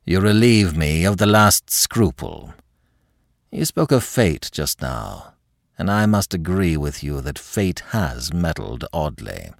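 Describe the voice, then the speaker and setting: A man voicing a proud, despairing French marquis in an audiobook, speaking with icy, measured formality. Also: lowish voice, dry recording, studio quality. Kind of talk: dramatic audiobook character acting.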